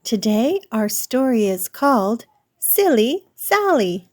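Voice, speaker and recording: A woman hosting story time, reading a picture book aloud to young children in a deliberate, sing-song manner. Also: on the high side, big pitch swings. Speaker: children's storybook reader and host.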